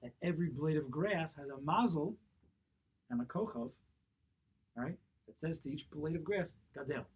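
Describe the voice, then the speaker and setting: Husky-voiced rabbi teaching a class. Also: male voice, fairly high pitch, animated, normal speed, long pauses. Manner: questions and answers himself, repeats key phrases. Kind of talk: Torah class, explaining a text. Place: small synagogue study room, seated at a table. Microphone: camera a few feet away across the table.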